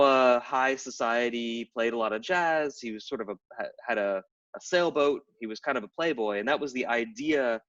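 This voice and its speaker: A man's voice: mid-range voice, a bit bright. Man explaining from afar, lo-fi. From Tidewater, Virginia. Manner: thoughtful, many fillers and restarts, explains at length. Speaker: guest, game writer and anthropologist. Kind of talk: casual explanatory interview talk. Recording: distant, dull lo-fi live stream audio.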